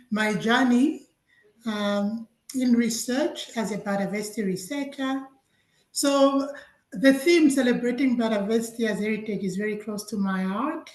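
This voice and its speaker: A woman's voice: on the high side, darkish tone, reverberant, poor audio. A woman from Zambia giving a webinar talk as a guest scientist, telling her own story with frequent laughs over a video call. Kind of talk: webinar presentation, personal story.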